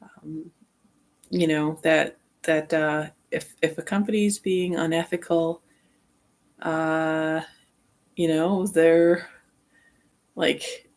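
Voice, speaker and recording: Woman, deep gravelly register, hesitant and meandering personal reflection, unhurried vlog delivery. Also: steady tone, darkish tone. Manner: halting, trailing off mid-thought. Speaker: vlogger.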